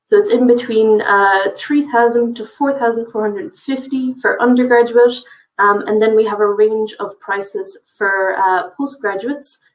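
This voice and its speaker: A woman's voice: a little high, steady tone, a little slow, roomy sound, recorded from a distance, poor audio. A woman from a college's international office giving a friendly, informative webinar presentation, with frequent fillers.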